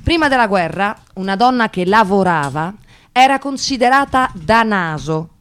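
An articulate female narrator played by an actress delivers theatrical, literary prose with wry irony. Her phrasing is measured, and her pitch moves in a lively, expressive way. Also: clear, resonant tone, close to an everyday voice. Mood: wry, expository.

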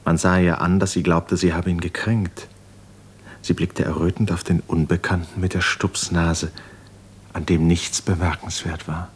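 A man narrating a literary 1950s radio drama in a grave, measured storytelling manner. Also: sits low, flat delivery, husky.

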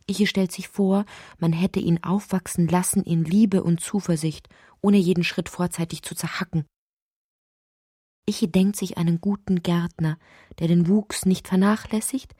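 A woman narrating a literary audio drama in a breathy voice that often drops toward a whisper, reciting deliberately and poetically. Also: fairly low pitch, steady tone, dark tone, no echo, studio quality.

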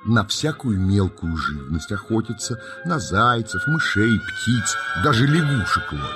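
Deep, slow, expressive male storyteller. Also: over loud music. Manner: folksy, dramatic, savouring words. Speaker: narrator. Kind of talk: expressive children's storytelling narration.

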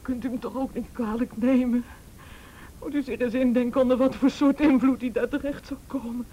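High-voiced distraught mother played by a woman. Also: steady tone, mellow, a little hiss or hum, lightly acted, poor audio. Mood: anxious, defensive. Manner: halting, pleading, emotional. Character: distraught, defensive, pleading mother of teenage son. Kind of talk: Dutch radio play acting.

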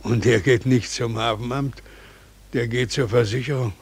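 An actor playing a world-weary, sardonic harbour official with a gravelly, husky voice in a 1940s German radio play. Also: male voice, mid-range voice, fairly even intonation, moderate pace. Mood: wry, musing.

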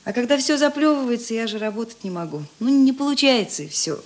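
A woman acting a sensitive, self-doubting theatre actress in a Russian radio play, breathy and hesitant. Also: fairly high pitch, expressive, fast. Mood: upset, self-doubting. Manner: soft, hesitant, emotional.

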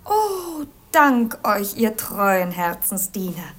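An actress playing a cruel, capricious young princess in a theatrical radio play, imperious and self-pitying. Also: female voice, medium pitch, big pitch swings, slow. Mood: imperious, agitated. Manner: imperious, melodramatic, declaiming.

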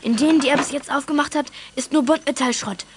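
A female performer playing a plucky, excitable girl detective in a youth radio play, eager and determined. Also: high-pitched, fast, noisy background, close to an everyday voice. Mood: secretive. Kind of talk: 1980s German youth radio play acting.

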